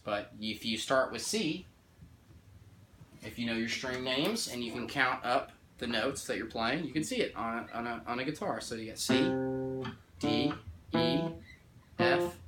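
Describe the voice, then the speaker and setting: A man giving a casual music theory lesson. He often checks in with "right?" and restarts or corrects himself while counting out notes. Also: medium pitch. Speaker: music teacher. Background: guitar playing along.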